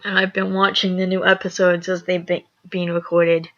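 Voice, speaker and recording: A young woman with a raspy voice, reading a horror fan story aloud, rambling and apologetic with chatty asides. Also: lowish voice, level tone, moderate pace, a bit bright. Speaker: story reader. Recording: lo-fi dull recording.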